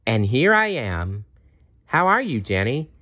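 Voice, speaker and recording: Man putting on a merry, high-pitched storybook bear. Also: big pitch swings, unhurried, bright, forceful tone, no room sound, lo-fi sound. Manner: cheerful, encouraging. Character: merry, upbeat male yellow bear, encouraging friend.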